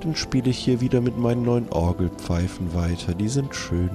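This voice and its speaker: A man playing a polite, formal island shopkeeper who deals in a deliberate, businesslike way in an improvised audio adventure. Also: medium pitch, over music, natural voice.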